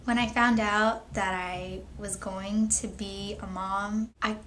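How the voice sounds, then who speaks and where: A 22-year-old woman speaks in a measured, thoughtful manner. Her voice sits at a middle pitch. A faint background noise sits under her words. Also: flat delivery, fairly bright tone. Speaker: young mother telling her story. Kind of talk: candid personal testimony.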